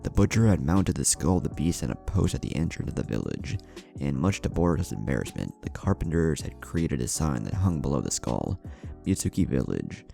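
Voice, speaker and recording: Male narrator for dramatic fiction: very low, gravelly, lively intonation over music. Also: brisk. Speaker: narrator of a fan fiction story. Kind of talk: scripted dramatic story narration.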